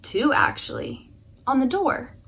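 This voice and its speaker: A woman's voice: high voice, wildly swooping pitch, unhurried, gravelly, fairly bright tone, slightly rough. A woman in her twenties reading children's picture books aloud in a bedroom. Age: 20s. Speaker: story reader. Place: bedroom indoors.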